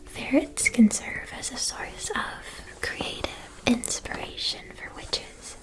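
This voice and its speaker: A woman whispering an ASMR roleplay as a polite, attentive witch-school shopkeeper, explaining in measured, deliberate phrases. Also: music in the background, natural voice, low-quality recording.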